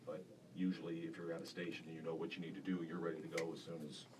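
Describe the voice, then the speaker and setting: Deep-voiced casual male instructor. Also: flat delivery, fast, darkish tone. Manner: casual, many fillers. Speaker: broadcasting instructor. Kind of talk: classroom demonstration. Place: school radio studio. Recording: distant mic with faint room hiss.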